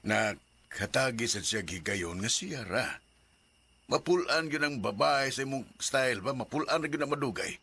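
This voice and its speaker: A man's voice: mid-range voice, lively intonation, moderate pace, sharp tone, slightly rough, exaggerated voice. A man playing a middle-aged father who scolds his son and gives him advice about love. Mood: scolding. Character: middle-aged father, nagging but caring. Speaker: radio drama actor.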